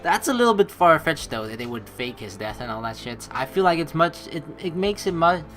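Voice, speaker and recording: A man's voice: on the high side, sing-song, a little fast, a bit bright, soft background music. A man hosting a let's play, mixing read-aloud narration with rambling, profane casual commentary. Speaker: let's play host reading game text aloud.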